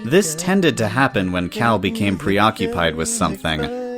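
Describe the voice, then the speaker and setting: A man narrates a scripted story. His voice is on the low side, and music runs softly behind him as he tells it. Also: lively intonation, normal speed.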